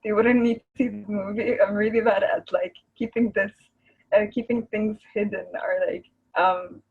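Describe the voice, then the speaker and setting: A woman's voice: mid-range voice, steady tone, normal speed, breathy edge, phone-quality sound. A woman, an Arabic speaker, chatting casually as a guest artist on a video call. She rambles and searches for words, laughing easily. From Egypt.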